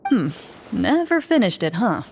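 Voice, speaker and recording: A woman voicing a composed, stern but kind monster hunter and mentor in a video game, speaking in a measured, firm, formal way. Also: mid-range voice, sing-song, gravelly, a little mellow, a little hiss or hum. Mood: gently encouraging. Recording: music playing behind the voice.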